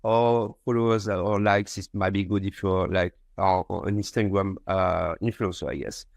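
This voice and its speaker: A man's voice: sits low, steady tone, moderate pace, gravelly, dry recording, studio quality. A man from France with a French accent, explaining his business conversationally as an interview guest. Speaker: company founder, podcast guest.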